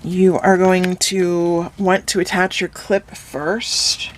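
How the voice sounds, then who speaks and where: A woman explaining a craft step by step in a calm, instructive way, her voice slightly hoarse from a cold. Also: fairly low pitch, slow, noisy background. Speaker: craft tutorial host. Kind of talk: step-by-step craft tutorial voice-over.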